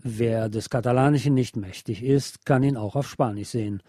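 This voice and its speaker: A man presenting a scripted film review as a radio host, in a measured, explanatory way. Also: medium pitch, loud music behind the voice.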